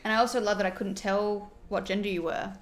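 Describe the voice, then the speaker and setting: A woman's voice: medium pitch, fairly even intonation, quick pace. Chatty woman streamer doing her makeup. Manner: chatty, reads viewer comments aloud and reacts warmly. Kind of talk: casual livestream chat while doing makeup.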